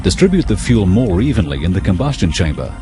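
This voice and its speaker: A man reading scripted technical narration for an educational film in a measured, explanatory, impersonal manner. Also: deep voice, big pitch swings, over music.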